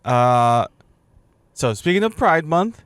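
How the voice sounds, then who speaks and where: A man's voice: a little high, very animated, slow, fairly bright tone, smooth, no room sound. A man in his thirties co-hosting a casual podcast, playful and laughing, reading listener posts aloud. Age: 30s. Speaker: podcast co-host. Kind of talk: casual chat.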